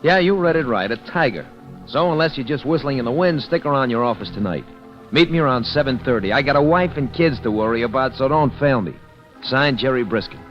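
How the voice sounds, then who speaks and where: Letter writer, male actor, 1940s radio: worried family man, challenging, mid-pitched, over music on muffled recording. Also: lively intonation, a little fast, natural voice. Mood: challenging, earnest. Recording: dull vintage radio recording, music behind.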